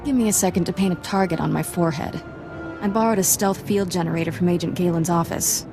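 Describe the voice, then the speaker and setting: Woman playing a lowish-voiced, cocky sidekick. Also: fairly even intonation, fast talker, natural voice. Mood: wry. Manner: wry, casual, breezy. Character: cocky, wisecracking young female Jedi agent. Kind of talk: video game voice acting. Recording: music playing behind.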